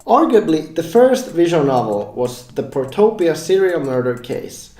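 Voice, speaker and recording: A man's voice: on the high side, big pitch swings, unhurried, warm tone, reverberant, soft background music. A man hosting a scripted explainer vlog, addressing viewers informally as he explains things step by step. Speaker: game developer and vlog host.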